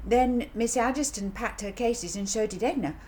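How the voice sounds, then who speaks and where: A woman's voice: fairly high pitch, normal speed, warm tone, pitched above the performer's own voice, lightly acted, poor audio. A woman voicing an earnest little girl in a storybook, explaining things in childish run-on sentences. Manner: childish, breathless run-on telling. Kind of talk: children's audiobook character voice. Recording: audible background noise.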